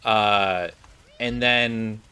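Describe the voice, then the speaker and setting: A man's voice: fairly low pitch, steady tone, extremely slow, bright, forceful tone, a little hiss or hum. A man giving casual, off-the-cuff commentary on a game, rambling and thinking aloud as he plays. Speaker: gaming video creator.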